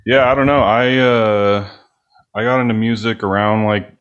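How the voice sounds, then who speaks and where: Male guest from California, very low American voice, chatting informally in an interview. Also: steady tone, normal speed, fairly bright tone, clear, resonant tone, lo-fi sound. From California, United States. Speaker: podcast guest, band musician. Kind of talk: casual anecdotal chat.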